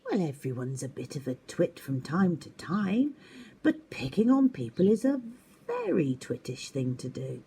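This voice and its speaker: A woman's voice: sits low, wildly swooping pitch, measured pace, mellow, breathy edge, heavily acted. A woman voicing a kindly, encouraging female woodland animal in a storybook, reassuring and full of advice. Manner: reassuring, advising.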